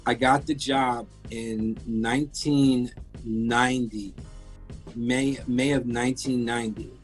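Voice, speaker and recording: A man's voice: medium pitch, steady tone, slow pace, poor audio. Man in his fifties, born in France, rambling interview guest, candid, faint music behind. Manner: rambling, candid, restarts often. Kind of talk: casual interview storytelling.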